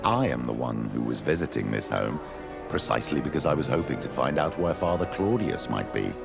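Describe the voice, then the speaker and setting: An actor playing an older missionary priest in a video game, formal, measured and dry, with a gravelly voice. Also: male voice, rumbling low, animated, over music, heavily acted.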